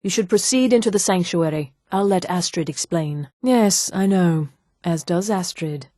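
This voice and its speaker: A woman as poised, coolly menacing elven assassin, low-voiced. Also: warm tone, no room sound, studio quality, lightly acted. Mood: grave. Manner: formal, measured, deliberate diction. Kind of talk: scripted fantasy video game dialogue.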